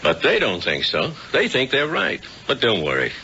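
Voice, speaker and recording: A man's voice: medium pitch, huge pitch swings, moderate pace, creaky, pushed and bright, heavily acted. An actor playing a practical, authoritative policeman in a 1970s radio drama. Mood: steady. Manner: blunt, authoritative. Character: brisk, commanding policeman, practical and protective. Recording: muffled old radio, faint noise.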